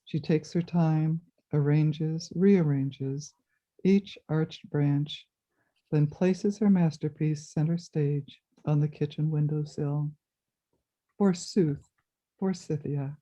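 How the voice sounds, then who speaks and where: A woman reads a prose piece aloud in a slow, measured way over a video call. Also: low voice, fairly even intonation, dark tone. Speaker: reader. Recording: dull lo-fi audio.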